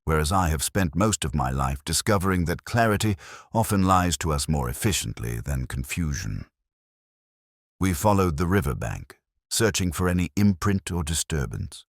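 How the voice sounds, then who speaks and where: A man narrating a first-person detective story in a measured, literary and brooding manner. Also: very deep voice, no echo, clean studio sound.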